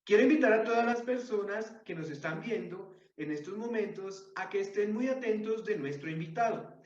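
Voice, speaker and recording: A man's voice: high-pitched, normal speed. A man hosting a podcast, presenting his guest and putting interview questions, recorded with dull, roomy sound. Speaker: podcast host.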